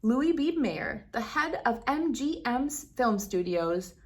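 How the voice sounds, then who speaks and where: A woman reading a children's story aloud as host, with dramatic, deliberate phrasing and clear emphasis. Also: a little high, big pitch swings. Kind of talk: expressive picture-book read-aloud.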